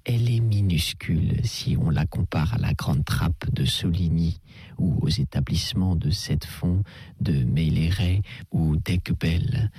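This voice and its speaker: A kindly older priest, put on by a male reader, who reassures in a breathy near-whisper, his voice lifted a little above the reader's own, with a gravelly edge. He speaks slowly and patiently. The recording is close and dry. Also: fairly low pitch, no echo, full character voice. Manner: soft, half-whispered reassurance. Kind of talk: audiobook character voice.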